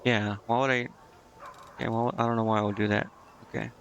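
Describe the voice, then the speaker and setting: Man, game streamer, creaky voice, casual indecisive muttering, dull lo-fi mic. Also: mid-range voice, little pitch movement, brisk, a bit bright, slight background noise. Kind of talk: casual live gameplay commentary, thinking aloud.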